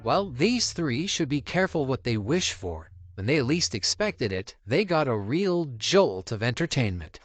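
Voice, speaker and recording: Guy doing scripted video voiceover, really animated pitch, dry close sound. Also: measured pace, hardly any pauses, no room sound, soft background music. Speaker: narrator of a viral video compilation. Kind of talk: scripted narration.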